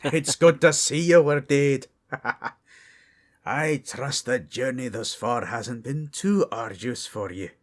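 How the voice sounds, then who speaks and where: A man voicing a dignified male dwarf high priest in a fan audio drama, speaking in formal, old-fashioned phrasing. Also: slow, natural voice. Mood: stately.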